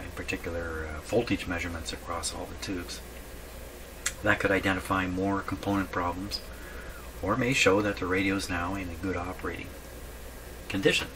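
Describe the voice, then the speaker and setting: A man hosting a hands-on repair demonstration, thinking aloud casually in an unhurried, musing way with dry humor. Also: fairly low pitch, noisy background. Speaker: host of a radio repair video. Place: indoor home repair workshop.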